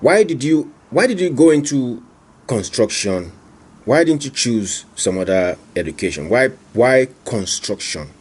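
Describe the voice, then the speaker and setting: Male interviewer, husky tone, probing, rephrases his questions. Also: mid-range voice, sing-song, unhurried, darkish tone. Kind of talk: on-camera interview.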